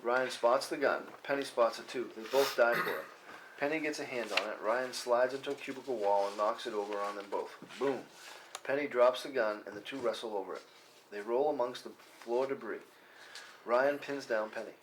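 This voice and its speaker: A man's voice: mid-range voice, a little fast. A man reading out the action of a film script as narration at a table read. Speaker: reader of stage directions. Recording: noisy room with clicks.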